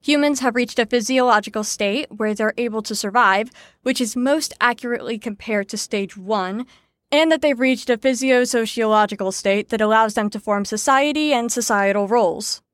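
An actress playing a brisk, sarcastic teacher in an audio drama, lecturing in an articulate, wry way. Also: female voice, a little high, dry recording, close to an everyday voice. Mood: didactic, patient. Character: brisk, sarcastic female teacher explaining psychology theories. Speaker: lecturer leading a group lesson.

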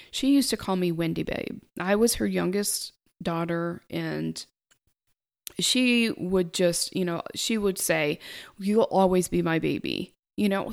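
A middle-aged woman with a soft, quiet speaking voice, reflecting on her own life in a halting, thoughtful way. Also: lowish voice, creaky. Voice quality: soft-spoken. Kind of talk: personal reflective monologue.

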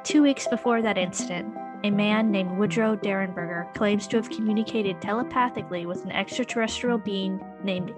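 A woman narrating a scripted audio documentary in a wry, conversational storytelling manner. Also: medium pitch. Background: music underscore.

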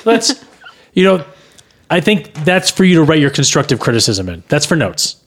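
A man's voice: fairly high pitch, very animated, normal speed, fairly bright tone. Defensive, mock-confident man bantering on a podcast. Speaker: podcast co-host and amateur screenwriter. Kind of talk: casual comedic banter.

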